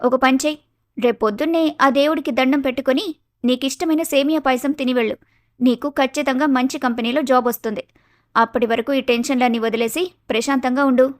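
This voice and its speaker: A woman acts out a wise, caring elder sister-in-law in an animated moral story. The voice is high and close with very little room sound, and the manner is motherly and reassuring, with a gentle tease. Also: brisk, dry recording, lightly acted.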